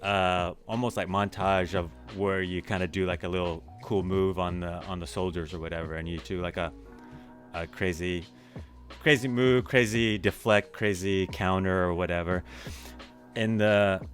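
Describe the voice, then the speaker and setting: Man, very low voice, animated podcast talk, emphatic, faint music underneath. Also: normal speed. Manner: animated and emphatic when giving opinions. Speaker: podcast co-host. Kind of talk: casual chat.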